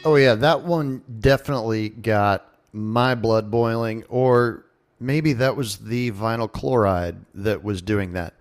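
A man's voice: lowish voice, slow pace, soft background music. A man hosting a radio show in a casual, conversational and jokey way, talking as if straight to his listeners.

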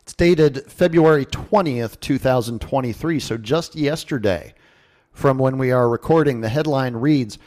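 A man's voice: medium pitch, a little slow, darkish tone. A man hosting a radio show in a casual, conversational and jokey way, talking as if straight to his listeners.

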